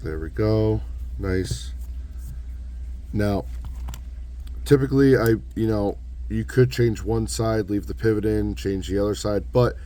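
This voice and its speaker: A young man with a hoarse voice from losing it, casually walking through a hands-on tutorial in a relaxed, rambling way. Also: fairly low pitch. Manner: relaxed, rambling, self-correcting. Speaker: knife modding channel host.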